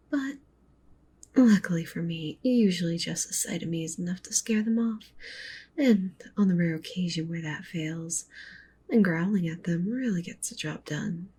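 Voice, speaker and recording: A woman playing a doting, possessive wolf witch girlfriend in an ASMR roleplay, speaking softly and breathily, often in a whisper. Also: on the low side, husky. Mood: possessive, proud. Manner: cooing, doting, with possessive menace. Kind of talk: ASMR roleplay, intimate soft speech and whispering.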